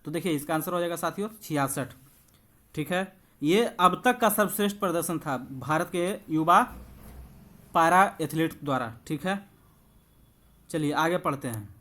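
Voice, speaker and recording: A man's voice: a little high, moderate pace, pauses often, fairly bright tone. A man coaching exam students, explaining quiz answers in an instructive way and addressing viewers familiarly. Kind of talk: quiz walkthrough lesson with explanations.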